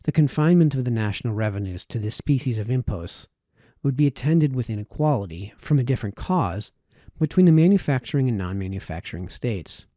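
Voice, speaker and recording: A man reads a formal old essay aloud in a very dark, soft-edged voice. His phrasing is measured, with an occasional stumble over a word. The sound is dry and close, with little room around it. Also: medium pitch, lively intonation, no echo. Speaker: amateur reader of historical texts. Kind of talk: read-aloud of formal historical essay, audiobook style.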